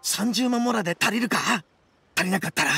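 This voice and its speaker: Casting: loud-mouthed, dim oni ruffian, bright forceful tone with a raspy edge, boastful game character. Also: male voice, high-pitched, fairly quick, dry recording, soft background music, exaggerated voice. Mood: indignant, excitable. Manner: cocky, rough slangy speech. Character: brash, dim, boastful young male oni gang boss. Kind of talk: video game voice acting.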